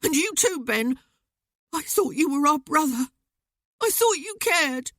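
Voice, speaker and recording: A narrator putting on an impulsive teenage boy from a storybook, his voice pitched up high and pleading in outbursts. He sounds hot-tempered and quick to protest, close and dry on the mic. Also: big pitch swings, a bit bright, no room sound, exaggerated voice. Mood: distraught. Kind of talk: audiobook character voice.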